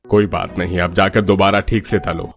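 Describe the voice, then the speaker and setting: A man's voice: deep voice, little pitch movement, normal speed, soft background music. An actor playing an older, genial, food-loving father-in-law in a cartoon story. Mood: mildly complaining. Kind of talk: Hindi cartoon story dialogue.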